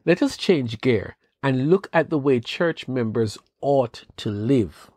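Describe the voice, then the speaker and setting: A man hosting a devotional podcast, giving an earnest, measured Bible teaching with rising questions. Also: mid-range voice, animated, a little mellow, dry recording. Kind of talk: scripted devotional talk.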